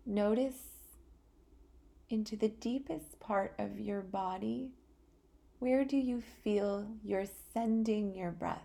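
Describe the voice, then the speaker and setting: Slow, mellow woman guiding meditation. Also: medium pitch, gravelly. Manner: calm, soothing, with long pauses between short phrases. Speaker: guided meditation podcast host. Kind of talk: guided relaxation meditation.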